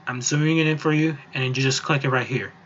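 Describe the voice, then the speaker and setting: A man giving a casual, improvised software tutorial, explaining step by step in an informal, rambling way. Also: mid-range voice, fairly even intonation, quick pace, lo-fi sound. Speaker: music software tutorial presenter. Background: voice-over on a screen recording.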